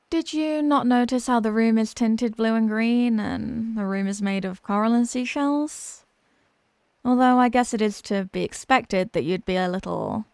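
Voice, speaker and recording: High-voiced, haughty mermaid princess, acted by a woman. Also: no echo. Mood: sweetly condescending. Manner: condescending, sweetly smug, teasing. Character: obsessive, haughty young mermaid princess, possessive and condescending. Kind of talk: scripted roleplay ASMR audio drama.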